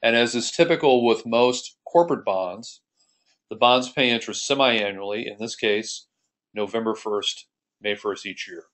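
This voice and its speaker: A man's voice: on the low side, moderate pace, a bit bright, poor audio. An American man giving an explanatory screencast lesson as an accounting instructor, explaining methodically and step by step.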